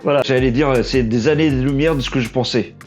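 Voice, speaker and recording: A man's voice: mid-range voice, darkish tone. A young man with a Portuguese accent, French not his first language, talking off the cuff in a casual vlog. He stumbles and restarts often, and his voice is getting worn. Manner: halting, stumbles and restarts often, self-deprecating. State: losing his voice. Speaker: aspiring trailer editor, vlog host. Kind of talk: unscripted casual vlog monologue.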